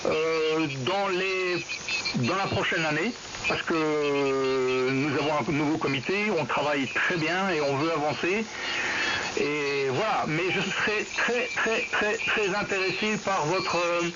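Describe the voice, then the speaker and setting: A man talking casually and haltingly as an amateur radio operator over a two-way radio link. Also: a little high, fairly even intonation. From Belgium. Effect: two-way radio transmission. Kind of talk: casual radio contact, unscripted chat. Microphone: radio transceiver microphone, received over the air. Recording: dull lo-fi radio link, loud static noise.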